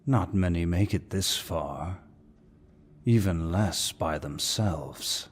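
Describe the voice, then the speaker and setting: Casting: male actor for a suave, dominant demon villain, very deep and husky, mocking delivery for roleplay audio. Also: exaggerated voice. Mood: condescending. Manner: slow, mocking, mock-courteous, theatrical. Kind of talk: ASMR roleplay, scripted villain monologue.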